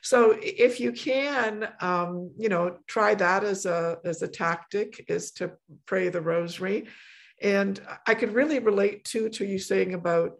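A woman's voice: medium pitch, a little slow, vocal fry. A middle-aged woman hosting a podcast, chatting casually with many fillers and restarts. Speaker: podcast host. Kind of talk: casual conversation.

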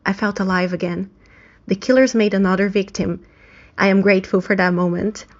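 Lo-fi clip of a woman reading a fan tribute, normal pitch. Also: fairly even intonation, fairly quick. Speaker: fan sending a voice message. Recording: dull lo-fi recording.

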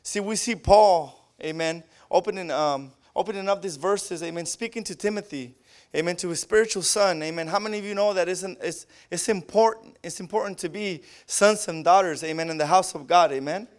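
A man with a Spanish accent preaching a sermon, tacking an amen onto nearly every phrase and rising into loud fervent bursts. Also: high voice, a little fast. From Southern California. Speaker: pastor.

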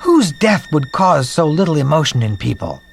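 Gravelly, theatrical storyteller creature. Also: male voice, fairly high pitch, sing-song, normal speed. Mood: dramatic, hushed. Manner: theatrical, dramatic, patient narrator. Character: earnest male storyteller creature, proud of his art. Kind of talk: scripted storybook narration in radio play. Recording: music under the voice.

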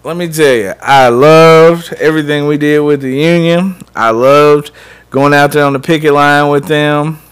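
A man, co-hosting a podcast and telling stories in an animated, casual way. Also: on the high side, normal speed, clear, resonant tone. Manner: animated, storytelling, laughs often. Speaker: podcast co-host. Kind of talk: casual chat.

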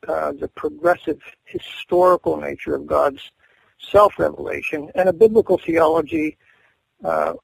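Gravelly, husky man explaining slowly. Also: fairly high pitch, rough recording. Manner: measured, thoughtful, many fillers and restarts. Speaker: seminary professor, guest. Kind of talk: unscripted interview answers.

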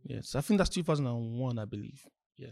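A man's voice: medium pitch, animated, brisk, gravelly, darkish tone, no echo. A laid-back man from Nigeria, chatting casually as a guest songwriter. The recording sounds dry and close, with no room around his voice. He talks with easy emphatic bursts. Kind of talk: casual podcast chat.

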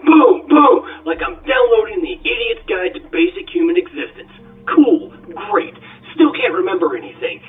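A brash robot gunslinger, acted out by a man, tells his story with theatrical excitement and a wisecracking streak. The male voice is high and swings widely, heard from off the mic through a tinny recording with music underneath. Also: far from the mic. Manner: theatrical, excitable storytelling with shouted sound effects. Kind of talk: dramatic first-person audiobook monologue.

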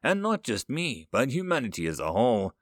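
A composed human chancellor in his thirties, voiced by a male narrator in a sci-fi audiobook. He speaks diplomatically with measured phrasing and very varied pitch, recorded dry. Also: wildly swooping pitch, dry recording. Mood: composed, pleased.

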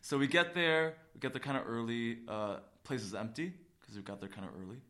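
A man co-hosting a casual podcast, telling stories in an excitable, slangy way and often repeating himself. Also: medium pitch, brisk. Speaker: podcast co-host. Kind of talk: casual chat, animated storytelling.

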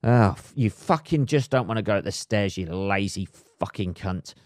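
A man, a little hoarse, ranting comically and swearing constantly in a rambling solo podcast monologue. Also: on the low side, moderate pace, a little mellow, dry recording, studio quality. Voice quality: heavy swearing, rambling with many fillers. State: slightly hoarse. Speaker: podcast host. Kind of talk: solo comedic rant.

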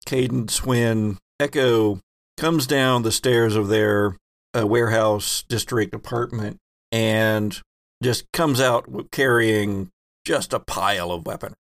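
A man's voice: fairly low pitch, slow, no echo, clean studio sound. A man running a tabletop role-playing game as game master, prompting the players with easygoing questions.

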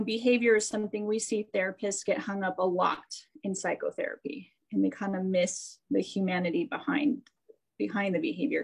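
A woman's voice: mid-range voice, rough recording. An American woman hosts a talk show in an upbeat, chatty way. She laughs easily and keeps the conversation moving with friendly enthusiasm. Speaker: host and therapist. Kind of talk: enthusiastic interview introduction.

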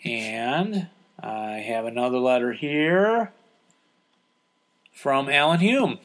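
A man's voice: medium pitch, animated, slow, fairly bright tone. A man hosting a podcast in a casual, conversational way, chatting and reading out listener letters with some hesitations. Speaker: podcast host.

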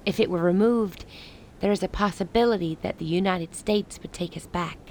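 An actress playing a grave, resolute woman who leads a besieged rebel city in a radio play, speaking in measured, formal lines. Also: fairly low pitch, a little mellow, slight background noise, natural voice. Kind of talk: dramatic radio play dialogue.

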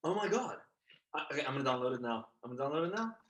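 A woman's voice: a bass voice, big pitch swings, very fast. A woman playing a brash, crude, man-hungry city friend in an improvised comedy sketch, blunt and bossy. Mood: brash, curious.

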